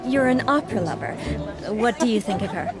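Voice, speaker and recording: An actress playing a poised young socialite with a detective's shrewdness in a radio drama, genteel and self-assured. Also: female voice, fairly high pitch, animated, brisk, over loud music, natural voice. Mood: playful, poised. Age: young adult.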